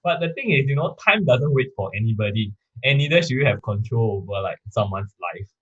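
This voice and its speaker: A young Singaporean man in his twenties with a husky voice, speaking bluntly and offhandedly in a casual group chat. The recording sounds a little dull and muffled. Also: medium pitch, lively intonation, a little fast, a bit bright. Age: 20s. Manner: blunt, offhand, heavy with "you know" and "right". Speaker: panel guest. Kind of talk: casual panel discussion.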